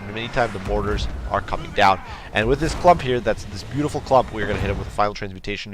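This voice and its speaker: Man, nasal from a cold, chatty game commentary, lots of fillers, faint background noise. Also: on the low side. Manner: quick, chatty, many fillers and restarts. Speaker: gameplay commentator. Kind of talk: strategy game battle commentary.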